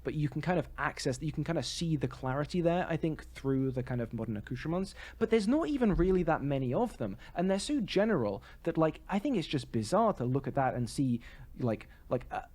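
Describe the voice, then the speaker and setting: A man's voice: fairly high pitch, sing-song, a little fast, darkish tone. A man co-hosting a podcast, giving articulate, opinionated commentary in casual conversation. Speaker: podcast co-host. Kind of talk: casual discussion and commentary on theatre.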